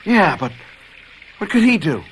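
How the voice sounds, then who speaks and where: An actor in a radio drama playing a brash young hipster punk, cocky but haunted, slangy and stammering. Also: male voice, high-pitched, big pitch swings, measured pace, slight background noise, rough recording. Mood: shaken. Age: young adult.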